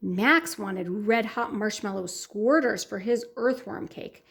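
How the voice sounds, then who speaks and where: A woman hosting a children's story time and reading a picture book aloud in an expressive way. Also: fairly high pitch. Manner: warm, expressive storytelling for kids.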